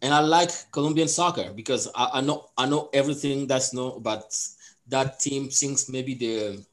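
A man from Cameroon, a soccer coach, chats on a podcast at a middle pitch. He wanders through his stories with fillers and restarts, in an easygoing conversational way. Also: normal speed. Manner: rambling, with many restarts and fillers. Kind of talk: casual podcast chat.

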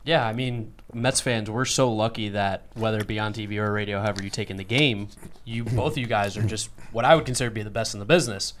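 A man's voice: on the low side, fairly even intonation, fast talker, a bit bright, slight background noise. A man co-hosting a podcast, chatting casually in an interview in an informal, enthusiastic way. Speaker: podcast co-host.

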